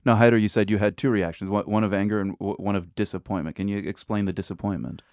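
A man hosting a radio news program, asking questions in a calm, measured way. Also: fairly low pitch, clear, resonant tone, no echo, clean studio sound.

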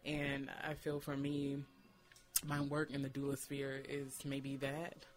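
A man talks in an earnest, reflective discussion. His pitch sits in the middle and stays very even. He picks his words hesitantly, often leaning on small filler phrases. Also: takes it slowly. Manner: hesitant, thoughtful phrasing with frequent "you know". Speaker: doula and discussion participant.

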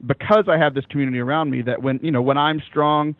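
A young man with a loud voice, talking casually as an interview guest, animated, with many fillers and restarts, laughing often. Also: a little high, fast talker, no echo. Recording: dry, phone-like call line.

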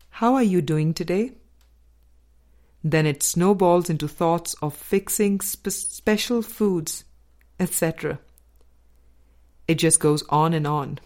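A woman reading a spiritual book aloud as a scripted narration, with measured, deliberate phrasing in short groups. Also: lowish voice, darkish tone. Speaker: audiobook reader.

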